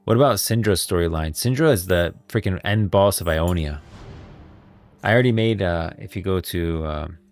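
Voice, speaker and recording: A man's voice: low-pitched, normal speed, faint music behind. A man hosting a livestream, talking casually about game lore and rambling as he thinks aloud. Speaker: livestream host.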